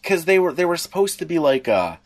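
A man co-hosting a podcast, chatting informally and haltingly as he recalls things. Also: fairly high pitch, very animated. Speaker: podcast co-host. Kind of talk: casual chat.

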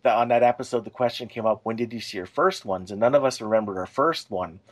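A man's voice: fairly low pitch, fast. A man co-hosting a podcast, talking casually and explaining his thoughts in a conversational way. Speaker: podcast co-host.

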